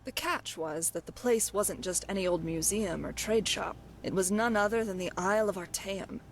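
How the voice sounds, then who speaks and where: A woman's voice: medium pitch, moderate pace, slight background noise, lightly acted. A woman playing a glib, evasive thief companion in a fantasy video game, talking in a wry, coy way. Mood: wry storytelling. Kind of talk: scripted video game dialogue.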